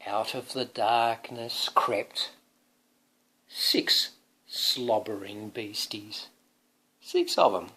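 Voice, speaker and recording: A man's voice: on the low side, steady tone, extremely slow, long pauses, pushed and bright, husky, rough recording. A man, a father, reading a picture book aloud to his young son, drawing out words expressively and adding chatty asides that prompt the child.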